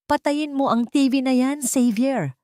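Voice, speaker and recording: A woman voicing a proud, angry middle-aged mother who gives sharp, commanding orders in a radio drama. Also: high voice, a little slow, dry recording, studio quality, pitched above the performer's own voice. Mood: furious.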